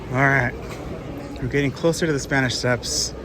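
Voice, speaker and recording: A slightly hoarse man talks through a walking tour into a handheld camera. He rambles loosely with plenty of fillers at a middling pitch. Busy street noise runs clearly behind him. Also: steady tone, normal speed. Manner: rambling, thinking aloud with many fillers. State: slightly hoarse, coming down with a cold. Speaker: travel vlogger. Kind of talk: casual walking tour commentary. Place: outdoors on city streets.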